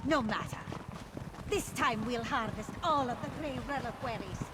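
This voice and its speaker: A woman's voice: fairly high pitch, normal speed, heavy background noise, close to an everyday voice. A woman playing a menacing, cruel coven witch in a fantasy video game, taunting and contemptuous. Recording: loud game sound effects behind.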